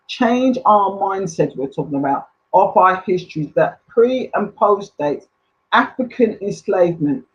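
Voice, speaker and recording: A woman giving an enthusiastic, semi-formal presentation as a community group speaker on an online call. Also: medium pitch. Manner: enthusiastic, emotive. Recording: dull lo-fi online call audio.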